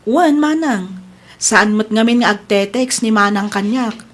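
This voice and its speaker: A woman playing Mariel, an innocent, soft-spoken younger sister in a radio drama. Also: medium pitch, measured pace, natural voice. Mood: puzzled. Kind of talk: Ilocano radio drama acting.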